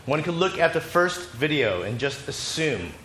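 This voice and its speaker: A man preaching a sermon in short phrases, pausing for an interpreter, while battling a cold. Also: fairly high pitch, big pitch swings, pushed and bright, slight background noise. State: has a cold. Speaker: pastor. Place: church sanctuary. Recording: echoey church hall with faint ambience.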